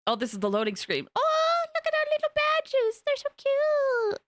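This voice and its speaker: A woman game streamer reacting live in an excitable, gushing way, often repeating her phrases. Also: extremely high pitch, normal speed, no room sound. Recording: game music behind the voice.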